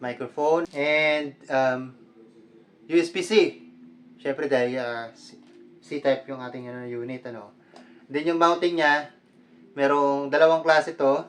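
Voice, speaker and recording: A man's voice: mid-range voice, flat delivery, takes it slowly, pauses often. A man with a Filipino accent, a vlogger talking casually through a product unboxing in a conversational way, mixing Tagalog with English.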